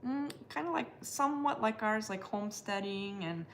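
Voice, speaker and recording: Woman, from the US, relaxed, conversational, live chat, faint background noise. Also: medium pitch, normal speed. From United States. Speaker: co-host. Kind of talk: casual live chat, reading comments aloud.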